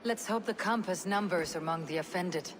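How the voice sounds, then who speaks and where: Low-voiced stoic Viking warrior woman, played by an actress. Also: a little hiss or hum, close to an everyday voice. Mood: resolute. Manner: terse, measured, poetic phrasing. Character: battle-hardened Viking warrior woman, stoic, poetic and decisive. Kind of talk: dramatic video game dialogue.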